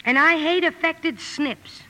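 A headstrong teenage tomboy from vintage radio drama. The voice is high and forceful, with blunt, theatrical delivery and big declarations. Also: female voice, takes it slowly, full character voice, rough recording. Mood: blunt, scornful. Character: fiery tomboyish teenage sister, ambitious would-be writer. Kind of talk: 1950s radio drama acting. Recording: vintage 1950 radio broadcast.

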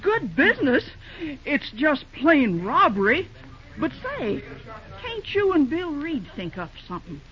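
A woman's voice: sits high, huge pitch swings, measured pace, full character voice. An actress playing a fussy, kindly middle-aged woman who runs a country restaurant, speaking in homespun rural dialect in a 1930s radio drama. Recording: dull old radio recording.

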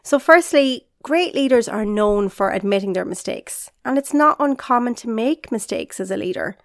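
A woman's voice: on the high side, lively intonation, normal speed, no echo, studio quality. Upbeat woman, a bit nasal. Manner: upbeat, encouraging, direct to listener. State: congested, nasal from illness. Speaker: podcast host and leadership coach. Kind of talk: solo podcast talk.

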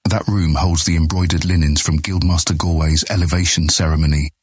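Man acting a very deep, solemn, ominous guild deputy. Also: steady tone, husky, no room sound, clean studio sound. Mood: dryly assured. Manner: formal, measured, courteous understatement. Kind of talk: fantasy audiobook character acting.

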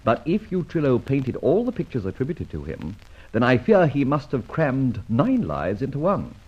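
An actor in a vintage radio drama playing a suave, teasing gentleman thief, speaking in wordy, mock-pompous theatrical banter. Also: medium pitch, wildly swooping pitch, moderate pace, husky. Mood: musing. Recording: dull, muffled old radio recording.

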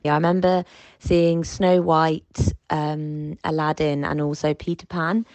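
A woman's voice: deep voice, flat delivery, unhurried, darkish tone, no echo. A British woman, a guest contributor, telling an anecdote in a casual, chatty way.

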